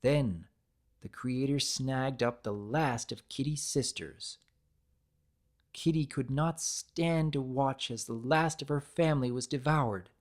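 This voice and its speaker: A narrator giving an expressive, deliberate dramatic reading of a story. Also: medium pitch.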